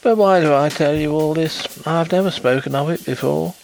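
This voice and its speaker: A man voicing an effusive, easily flustered and rather stiff gentleman detective in a storybook reading. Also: fairly high pitch, normal speed, gravelly, soft background music, pitched above the performer's own voice, rough recording. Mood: indignant. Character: Carlyle, excitable, sympathetic gentleman, proud private inquiry agent.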